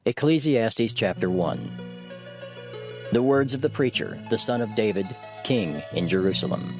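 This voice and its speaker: A man's voice: fairly low pitch, expressive, a little slow, over music. A man narrating scripture from a script, as the announcer of books and chapters.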